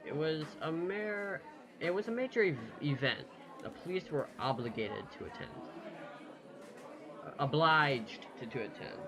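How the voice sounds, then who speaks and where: A man's voice: a little high, animated, a little slow. Male gamer over crowd noise. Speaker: gaming YouTuber. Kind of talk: game let's play, reading dialogue aloud.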